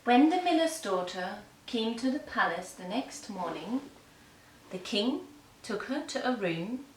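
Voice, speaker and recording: A woman tells a children's fairy tale slowly and deliberately in a fairly high voice. She is a little far from the mic in an echoey room. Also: animated. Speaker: storyteller and puppeteer. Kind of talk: scripted fairy-tale narration for young children. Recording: echoey room, distant mic, faint noise.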